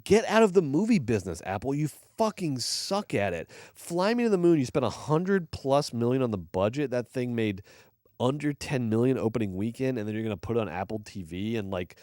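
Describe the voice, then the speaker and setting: A man's voice: medium pitch, animated, brisk, a little mellow, no echo, studio quality. A man co-hosting a movie podcast, chatting casually in a blunt, opinionated way with plenty of swearing. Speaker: podcast co-host reviewing films.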